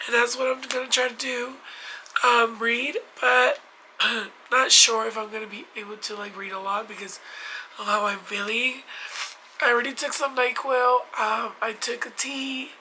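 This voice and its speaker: Young woman with a stuffed-up, hoarse cold voice, bright tone, chatty and rambly, light background hiss. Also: fairly high pitch, flat delivery, normal speed, low-quality recording. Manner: chatty, rambling with many likes and restarts. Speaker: book vlogger. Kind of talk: casual chat. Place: at home in bed.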